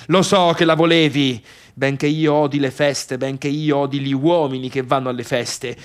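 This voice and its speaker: A man's voice: a little high, lightly acted. An actor playing a neurotic, bitter, indecisive man in a theatrical radio monologue, muttering agitatedly to himself with a Tuscan Italian accent. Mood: obsessive, bitter. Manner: agitated muttering self-talk, constantly contradicting himself. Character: neurotic, wavering man, bitter misfit brother obsessed with his sister. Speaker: stage actor.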